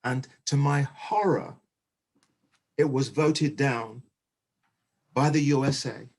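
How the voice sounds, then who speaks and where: A male panelist with a slightly husky, rough-edged voice at an ordinary pitch. He speaks in a measured, oratorical manner, leaning on key phrases with emphasis. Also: a little mellow. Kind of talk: impassioned panel discussion.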